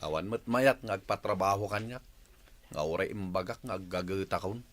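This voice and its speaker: A man's voice: sits low, fairly quick, lightly acted. A man with an Ilocano accent playing a lazy, whining village husband in a radio comedy drama. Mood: dejected. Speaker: voice actor.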